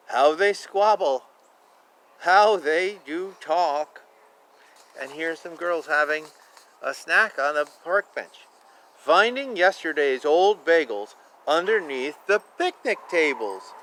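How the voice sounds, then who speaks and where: Man reading picture books, lively swooping pitch. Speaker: children's book reader. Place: outdoors. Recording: outdoor recording with clear ambient noise. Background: outdoor ambience.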